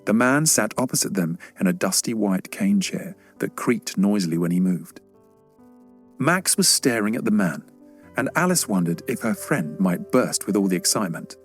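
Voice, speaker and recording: A man's voice: lowish voice, lively intonation, moderate pace, faint music behind. A man reading a scripted children's story as an audiobook narrator.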